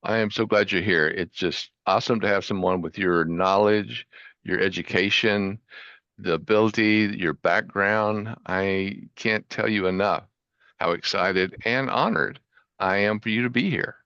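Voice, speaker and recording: A man's voice: fairly low pitch, measured pace, fairly bright tone, dry recording, lo-fi sound. A man hosting an online show, introducing his guest with upbeat enthusiasm over a video call. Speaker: host.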